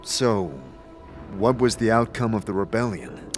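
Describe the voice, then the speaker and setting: A man playing a curious, unsteady wanderer in a fantasy audio drama, asking probing questions haltingly. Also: lowish voice, steady tone, faint music behind, lightly acted. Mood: inquisitive. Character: curious, unsteady male wanderer with lost memories.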